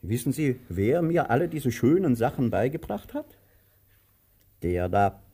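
An actor playing a pompous, cruel and self-pitying older master in a German radio play, with a grandiloquent, mercurial manner. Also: male voice, medium pitch, sing-song, a little slow, warm tone, breathy edge. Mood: wistful, plaintive.

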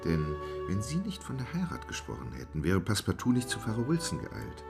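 Very deep, composed English gentleman. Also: huge pitch swings, creaky, music in the background, full character voice. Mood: earnest, tender. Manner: formal, measured, courteous. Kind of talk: German radio play acting.

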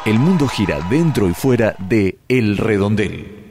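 A man's voice: on the low side, expressive, a little slow, darkish tone, long reverb tail, soft background music, a faint crowd. A man reading scripted idents for a radio show. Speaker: station announcer.

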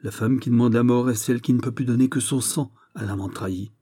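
A man playing a betrayed young gentleman lover, noble, bitter and heartbroken, with formal tragic delivery in a storybook reading. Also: mid-range voice, steady tone, quick pace, husky, voice pushed up, natural voice. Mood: solemn restraint. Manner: solemn, formal, grandly tragic.

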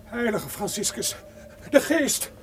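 Very high, panicky sentry. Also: male voice, big pitch swings, takes it slowly, slight background noise, full character voice. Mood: terrified. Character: terrified, superstitious sentry begging for mercy. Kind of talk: old-fashioned radio drama acting.

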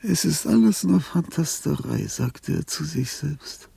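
An actor playing a lonely, dying old composer in a radio drama, tender and confused, with a husky, halting delivery that swings between whispers and cries. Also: male voice, on the high side, lively intonation, dark tone, dry recording, full character voice. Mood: solemn, murmuring. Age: older. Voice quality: gravelly, husky, breathy. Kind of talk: German radio drama acting.

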